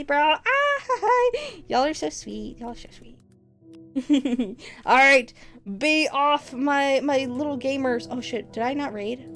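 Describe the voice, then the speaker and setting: A woman's voice: high-pitched, a bit bright. A woman livestreamer chatting casually with her viewers, teasing them playfully and laughing often. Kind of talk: casual stream chat with viewers.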